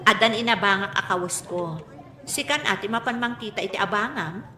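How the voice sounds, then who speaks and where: Vain show-off ilocano mom in a radio soap, bright forceful voice, echoey studio. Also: female voice, medium pitch, animated, a little fast. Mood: dismissive. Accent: Ilocano Filipino. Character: vain, boastful mother proud of her own beauty. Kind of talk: Filipino radio drama acting.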